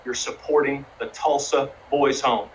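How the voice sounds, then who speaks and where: A man calling a live charity auction, chanting bids in a fast rhythm and coaxing bidders to raise again. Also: medium pitch, fairly even intonation, a little hiss or hum, poor audio. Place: live streamed fundraising event.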